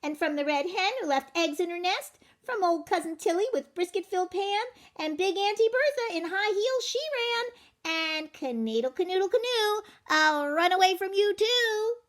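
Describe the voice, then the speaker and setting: A cheeky little runaway cookie man from a children's storybook, acted out by a woman. The voice sits very high and swings widely in pitch. He brags in sing-song rhyme, clever and pleased with himself. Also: animated, normal speed. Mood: boastful. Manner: boastful sing-song rhyming chant. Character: cocky, clever little matzah cookie man, teasing rascal.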